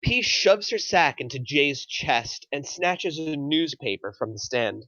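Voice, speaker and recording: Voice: medium pitch, animated, unhurried, fairly bright tone, no echo, low-quality recording. A narrator reading scripted stage directions aloud at a film table read.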